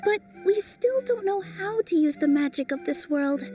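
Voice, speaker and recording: A woman voicing a graceful young dancer in a video game, soft, thoughtful and playful. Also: extremely high pitch, expressive, normal speed, distant mic. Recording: voice set back from mic, faint game music.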